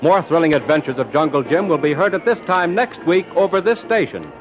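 A man's voice: fairly high pitch, sing-song, brisk. A man announcing and narrating a 1930s radio serial in a dramatic, emphatic scripted style. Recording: dull old lo-fi radio recording.

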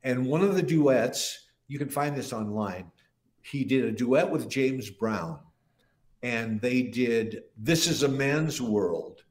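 A man's voice: medium pitch, lively intonation, slow. Older man telling rambling stories, roomy sound. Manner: rambling, with frequent restarts. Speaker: guest musician. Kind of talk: casual interview storytelling. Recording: roomy echo.

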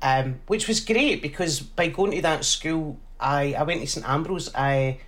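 A woman in her forties speaks with a Glasgow accent, chatting as a guest. She tells lively stories and slips into other people's voices as she goes. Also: low voice, a little slow. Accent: Glaswegian. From Scotland. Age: 40s. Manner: animated storyteller who acts out other voices. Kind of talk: casual interview chat.

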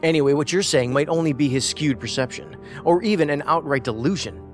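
An actor playing a cerebral, pedantic investigator in an audio drama, speaking in a measured, analytical way. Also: male voice, on the high side, close to an everyday voice. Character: cerebral, musing male investigator. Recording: faint music behind the voice.

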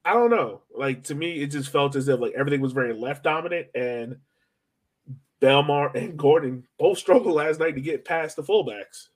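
A man's voice: fairly high pitch, expressive, normal speed. American man in casual soccer podcast chat. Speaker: sports podcast co-host.

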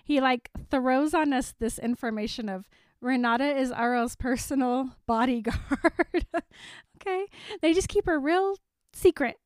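Young woman, somewhat high voice, dry close sound, giggly and animated, casual chat. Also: measured pace, dry recording. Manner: giggly and animated, frequent laughter. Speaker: podcast co-host. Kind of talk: casual book discussion chat.

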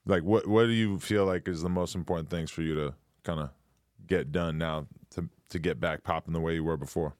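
A man's voice: deep voice, fairly even intonation, fairly quick, a little mellow, dry recording, clean studio sound. An American man hosting a casual interview, informal and agreeable, sometimes swearing. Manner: informal, agreeable, profane at times. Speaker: interviewer, host.

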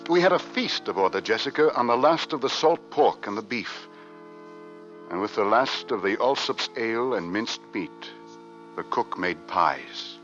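An actor playing a weary 1850s ship's officer, narrating a doomed Arctic expedition with grave drama in an old-time radio play. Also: male voice, fairly low pitch, animated, normal speed. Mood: somber, weary. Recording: muffled vintage radio recording, loud music.

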